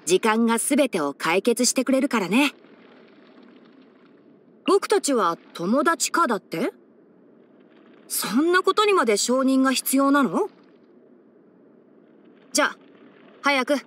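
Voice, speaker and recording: A woman voicing a boyish young male fox-eared forest ranger and scholar in an anime game, instructive and frank with a gently teasing manner. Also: high-pitched, full character voice. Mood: matter-of-fact. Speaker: character voice actor. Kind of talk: anime game character voice lines.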